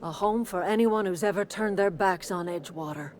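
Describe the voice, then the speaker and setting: A woman's voice: medium pitch, a little fast, a little hiss or hum, natural voice. An actress playing a kindly, plain-spoken older woman who leads a garden commune in a video game, with a folksy, motherly manner. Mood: wistful, firm. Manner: folksy, motherly, calls people dear. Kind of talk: video game character acting.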